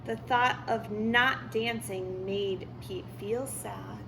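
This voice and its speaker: A woman reading a children's picture book aloud with deliberate, expressive storytelling. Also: mid-range voice, vocal fry, background noise. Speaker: story reader.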